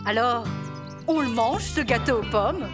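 High, singsong cartoon bear nanny. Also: female voice, expressive, far from the mic, over loud music. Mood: kindly. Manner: encouraging, singsong, drawn-out instructions. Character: cheerful, gentle female bear nanny with glasses. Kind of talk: preschool cartoon dialogue.

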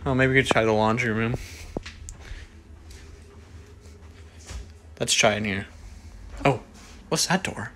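A man's voice: medium pitch, fairly even intonation, moderate pace, fairly bright tone, a little hiss or hum, close to an everyday voice. A man voicing a dogged furry beast on the hunt, muttering and repeating himself in an improvised home puppet film. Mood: searching.

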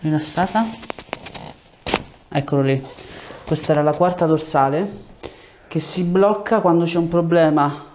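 A man's voice: fairly high pitch, steady tone, unhurried, pauses often, darkish tone, a little hiss or hum. A man, a chiropractor giving short hands-on instructions and explanations to a patient in a treatment room. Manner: short directive phrases. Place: small clinic treatment room indoors. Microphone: lapel mic.

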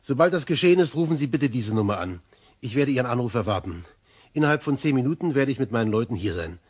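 An actor playing a probing, dry Scotland Yard inspector in a German crime radio play, matter-of-fact and authoritative. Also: male voice, mid-range voice, animated, fast talker, darkish tone, breathy edge. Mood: authoritative, instructing.

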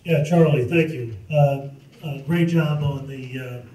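A man in the audience shares an eyewitness memory and stops often to gather his words. His voice is warm and dark. He sounds far off in a large, reverberant hall. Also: medium pitch. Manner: halting, with fillers. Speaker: audience member. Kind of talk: eyewitness anecdote. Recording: echoey hall, distant mic.

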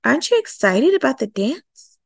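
A woman voicing a caring, friendly young schoolboy in a children's storybook, expressive and concerned. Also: high voice, normal speed, creaky, slightly rough, no room sound, voice pushed up, exaggerated voice.

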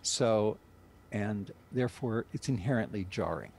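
A man's voice: fairly low pitch, a little slow, creaky, a little mellow, slight background noise. An older man speaking as a writer and director in an interview over a group video call. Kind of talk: interview discussion of a radio drama.

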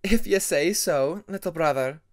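A man's voice: on the high side, a little slow, pitched above the performer's own voice. A man voicing an aloof young female ice dragon with a formal manner, in an audiobook story. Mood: amused. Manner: formal, focused.

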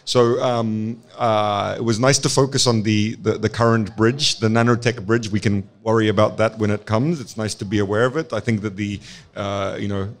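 Young guy interviewer, lowish voice, keeps saying mm-hmm and exactly, conference booth. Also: steady tone, normal speed. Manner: affirming, frequent short agreements. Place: conference booth with plant wall. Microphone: boom studio mic.

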